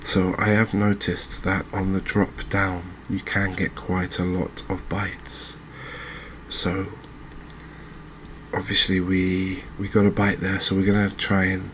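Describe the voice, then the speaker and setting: A man hosting a gaming channel with soft-spoken ASMR commentary, talking in a gentle, unhurried, halting way. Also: low voice, monotone, slight background noise.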